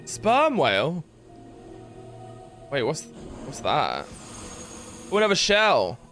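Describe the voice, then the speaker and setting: A young man casually chatting while streaming a game, rambling with lots of fillers and excited yelps. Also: on the high side, very slow, long pauses, faint music behind. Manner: rambling, filler-heavy, reads chat aloud, yelps with excitement. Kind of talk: casual live-stream gameplay chat.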